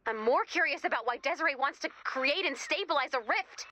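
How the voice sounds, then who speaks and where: A woman playing an eager, curious young researcher who guides players in a video game, chatty and expressive as she thinks aloud. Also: high voice, brisk, noisy background. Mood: wary. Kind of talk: scripted video game dialogue.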